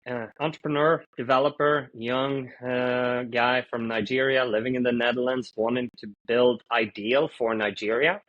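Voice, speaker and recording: A man in his thirties from Sweden, a fintech founder answering interview questions in an easygoing, story-like way with many pauses. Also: mid-range voice, lo-fi sound. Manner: relaxed storytelling with frequent "uh" pauses.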